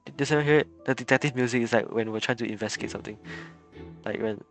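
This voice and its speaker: A man's voice: medium pitch, racing. A man giving live gameplay commentary as a streamer, often stammering and repeating his words over game music. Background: video game music.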